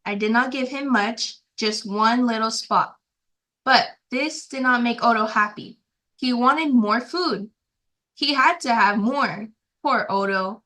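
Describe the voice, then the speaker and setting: A woman's voice: fairly high pitch, takes it slowly, fairly bright tone. A female guest reader reading a children's storybook aloud in a simple, careful storytelling way.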